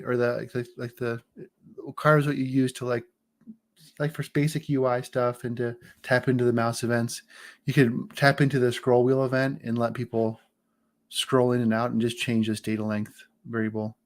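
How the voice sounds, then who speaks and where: A man in his forties talks in a loose, chatty way during a live software demo. He jokes, trails off and restarts his sentences as he clicks through his work. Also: medium pitch. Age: 40s. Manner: chatty and joking, many fillers and restarts. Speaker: engineer demoing his software on a livestream. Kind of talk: casual livestream walkthrough.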